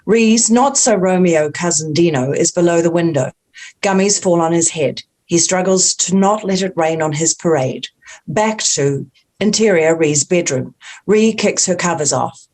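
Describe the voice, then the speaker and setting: A woman's voice: fairly low pitch, unhurried, a little mellow. A woman reading the stage directions at a script table read.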